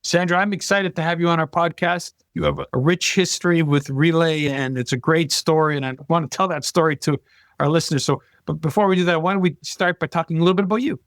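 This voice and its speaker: A man's voice: a little high, fairly even intonation, quick pace, dry recording, clean studio sound. A man hosting a business podcast, opening the show with a formal, welcoming introduction of his guest. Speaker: podcast host, law firm partner.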